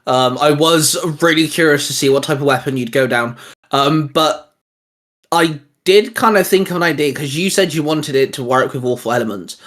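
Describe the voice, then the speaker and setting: British guy a bit high voiced, excited, bouncing ideas around in casual chat. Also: moderate pace. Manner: enthusiastic, brainstorming out loud. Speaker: podcast host.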